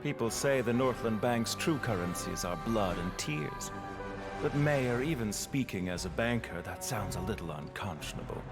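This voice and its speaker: An actor playing a sly, wry banker of a villainous order in a game trailer. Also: male voice, fairly low pitch, expressive, normal speed. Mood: sardonic. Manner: sardonic, mannered. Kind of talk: dramatic game trailer acting. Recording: loud music behind voice.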